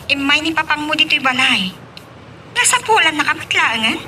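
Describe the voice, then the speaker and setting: Very high-voiced young schoolmate. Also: female voice, animated, moderate pace, sharp tone, slight background noise, heavily acted. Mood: curious. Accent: Filipino (Ilocano). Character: Iris, young female schoolmate friend on a phone call. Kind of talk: Ilocano radio drama acting.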